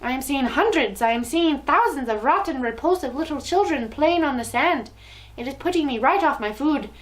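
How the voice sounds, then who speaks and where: A woman's voice: high voice, animated, moderate pace, pitched above the performer's own voice, low-quality recording. A woman voicing a tyrannical witch queen with a harsh, guttural foreign accent who snarls, shrieks and rolls her Rs. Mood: furious, shrieking. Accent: harsh guttural foreign accent, odd W and rolled R.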